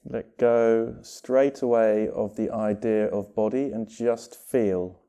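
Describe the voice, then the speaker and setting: Male meditation guide, very soft dark tone, smooth and clear, short phrases with long pauses. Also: lowish voice, steady tone. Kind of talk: guided meditation, gentle instruction.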